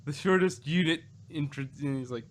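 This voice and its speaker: A man's voice: fairly high pitch, moderate pace, slight background noise. A man co-hosting a casual review podcast, chatting unscripted in a rambling, joking way. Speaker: podcast co-host reviewing audio dramas.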